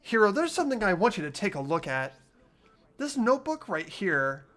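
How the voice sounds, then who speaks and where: A woman's voice: mid-range voice, sing-song, normal speed, fairly bright tone. A woman playing an earnest, thoughtful young student investigator in a fan-voiced game. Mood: serious. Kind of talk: fan dub of a visual novel game.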